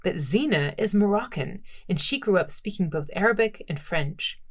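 A woman podcast host introducing a segment in an upbeat, clear presenting manner. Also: on the low side, lively intonation, normal speed.

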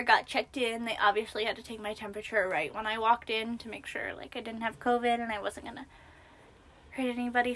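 Bright, high-voiced young woman. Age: young adult. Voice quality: naturally high-pitched voice. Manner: chatty, self-deprecating, sometimes drops to a whisper. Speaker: podcast co-host. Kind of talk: casual chat.

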